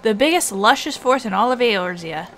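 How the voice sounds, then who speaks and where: Woman putting on a folksy, high-voiced travelling merchant. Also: expressive, brisk, a bit bright, slight background noise. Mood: folksy, friendly. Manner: folksy colloquial speech in a put-on accent. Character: chatty folksy travelling merchant, friendly and worldly. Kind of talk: reading game dialogue aloud in character. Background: game music.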